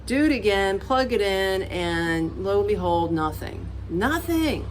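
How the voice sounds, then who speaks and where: An American woman vlogging casually, telling stories in an animated, colloquial way with direct asides to the viewer. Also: medium pitch, measured pace, a little hiss or hum, low-quality recording. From United States. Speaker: expat vlogger. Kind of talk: casual chat to camera.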